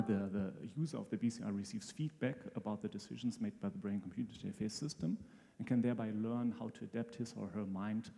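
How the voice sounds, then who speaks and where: A man speaking as a guest expert, explaining technical things in a measured way in a live interview. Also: on the low side, creaky. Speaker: brain-computer interface researcher, guest expert. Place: large hall at a live event. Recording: hall reverb, faint music behind.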